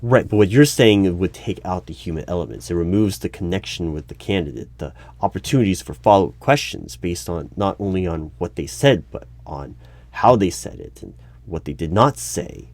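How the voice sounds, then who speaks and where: Man playing a low-voiced, exasperated hiring manager. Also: expressive, darkish tone. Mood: exasperated, argumentative. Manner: halting, argumentative, formal wording. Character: exasperated male human-resources hiring manager interviewing a robot. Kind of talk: one-sided audio roleplay.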